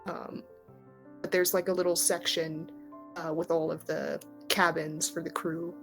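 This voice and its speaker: A woman running a tabletop roleplaying game, narrating casually and haltingly in a conversational way. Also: sits low, flat delivery, creaky, fairly bright tone, faint music behind. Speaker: game master.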